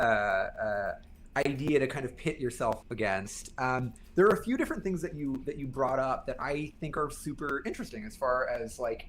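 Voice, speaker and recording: A young man talking casually and thoughtfully as a podcast guest, carefully qualifying his points as he works through them. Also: medium pitch. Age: young adult.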